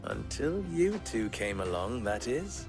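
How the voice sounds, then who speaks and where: An actor playing a wry, sardonic merchant fixer with a dry, teasing manner in a game cutscene. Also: male voice, mid-range voice, very animated, measured pace, over music. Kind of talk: video game cutscene acting. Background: game score.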